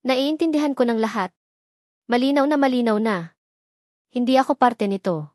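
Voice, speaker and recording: A woman's voice: on the high side, moderate pace, a little mellow, dry recording, clean studio sound, natural voice. Woman voicing a bitter, betrayed young Filipina. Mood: hurt, cold. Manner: cold, emotionless.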